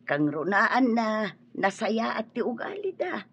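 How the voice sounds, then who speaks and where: A woman's voice: on the high side, very animated, measured pace, a bit bright, husky, muffled recording, exaggerated voice. An actress with an Ilocano accent playing a respectful woman who speaks earnestly to her madam in a radio drama. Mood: earnest, deferential.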